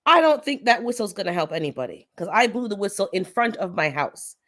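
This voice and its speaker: A woman's voice: medium pitch, big pitch swings, fairly quick, a bit bright. A woman hosting a casual gardening livestream, a bit stuffy and congested, chatting and laughing as she tells stories and greets viewers. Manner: chatty storyteller, laughs often, greets chat by name. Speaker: gardening channel host.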